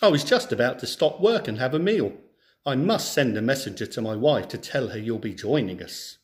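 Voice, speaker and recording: A man as a wily, animated farmer. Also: mid-range voice, normal speed, lightly acted. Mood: cheerfully cunning. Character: wily, confident male farmer in a folk tale.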